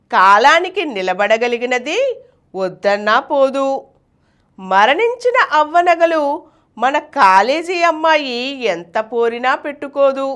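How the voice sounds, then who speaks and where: Female poetry reader and presenter: high voice, animated intonation, declamatory scripted delivery. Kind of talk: expressive scripted poetry recitation and commentary.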